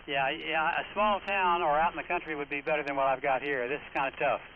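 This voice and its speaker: A man's voice: a little high, fairly even intonation, fast. An American man chatting casually as net controller in an amateur radio exchange. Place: voice heard over amateur radio link. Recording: tinny shortwave radio, clear static noise.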